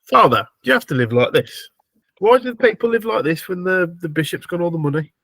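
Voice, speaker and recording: A man's voice: sits high, expressive, brisk, a bit bright, dry recording, pitched above the performer's own voice, exaggerated voice. A man playing a cheeky, blunt young companion in a tabletop game, irreverent and grumbling. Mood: indignant.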